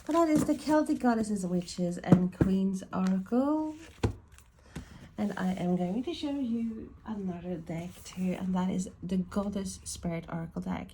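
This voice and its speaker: A woman from Ireland with a Swedish-tinged accent, chatting casually in a slow, thoughtful, meandering way as she reviews tarot and oracle decks at home. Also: mid-range voice, dark tone, a little hiss or hum. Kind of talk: casual deck review chat.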